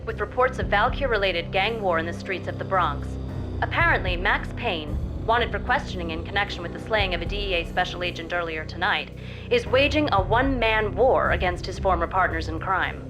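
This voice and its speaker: An actress playing a serious, composed television news reporter, reading a formal report. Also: female voice, fairly low pitch, animated, brisk, over music, close to an everyday voice, rough recording. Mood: composed, urgent.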